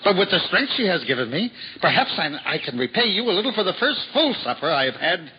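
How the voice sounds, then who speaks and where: An actor playing a poor, cheerful wandering juggler in a 1950s radio drama, earnest and prone to stammering when flustered. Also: male voice, high-pitched, sing-song, fast talker. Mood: humble. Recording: dull old radio recording with hiss.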